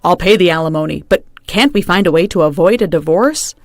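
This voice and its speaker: A woman's voice: lowish voice, animated, brisk, no echo, studio quality. Spineless begging husband, voiced by a woman. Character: selfish, spineless husband begging his wife.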